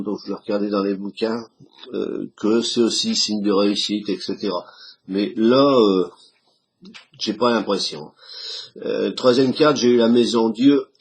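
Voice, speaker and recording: A hoarse man talks casually in a halting way, with many restarts, while he explains a tarot card reading to his camera. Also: on the low side. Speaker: tarot reader. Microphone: camera he set up himself.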